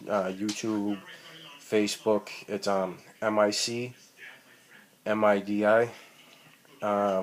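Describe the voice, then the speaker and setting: A man, rambling profanely and full of ums as he talks about wrestling into a tablet mic. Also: lowish voice. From Boston, Massachusetts. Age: 30s. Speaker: amateur wrestler. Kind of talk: rambling self-recorded vlog. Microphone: tablet built-in mic.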